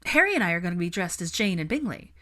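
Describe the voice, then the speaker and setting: A woman's voice: lowish voice, very animated, fast talker, a bit bright. A woman voicing a brash, outspoken young married woman in a storybook reading, blunt and teasing, with emphatic delivery. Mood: chatty.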